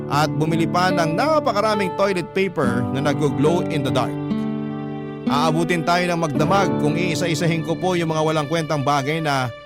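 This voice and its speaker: A man reads a first-person story as a radio storyteller. His voice sits slightly high and his delivery is conversational and confiding. Loud plucked guitar music plays behind him. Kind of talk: first-person dramatic story narration of a letter. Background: acoustic guitar music.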